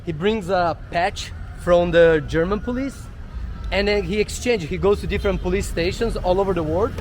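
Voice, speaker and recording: A man's voice: high-pitched, unhurried. A man from Brazil with a Brazilian accent, talking casually to locals and to the camera while walking through a city. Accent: Brazilian Portuguese. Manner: chatty, asks locals simple questions. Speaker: travel vlogger. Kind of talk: casual travel vlog narration. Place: outdoors on city streets. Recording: outdoor street noise. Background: street and traffic noise.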